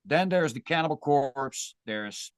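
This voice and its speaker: A man's voice: fairly high pitch, animated, a little slow, clear, resonant tone, no room sound, studio quality. A man with a Dutch accent, a guest musician talking casually and thoughtfully in an interview. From Netherlands. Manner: thoughtful, rambling with restarts.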